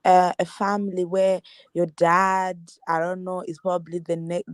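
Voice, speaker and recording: A woman's voice: fairly low pitch, level tone, normal speed, clear, resonant tone, no echo, clean studio sound. A woman guest in a live discussion, speaking thoughtfully and hedging her points with fillers.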